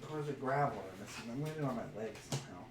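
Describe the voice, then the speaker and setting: A man's voice: medium pitch, rapid-fire, crowd noise. A man chatting casually as a podcast co-host, commenting on trading cards in a jokey, crude way with some wordplay. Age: adult, parent of a young son. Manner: jokey, crude, plays with words. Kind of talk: casual chat while opening trading card packs. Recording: roomy echo, off-mic, faint noise.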